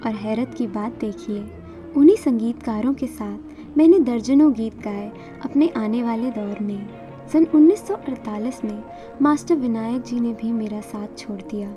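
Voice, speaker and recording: A woman's voice: a little high, moderate pace, mellow, soft background music. A woman whose native language is Marathi, narrating her own life story from a script as a singer.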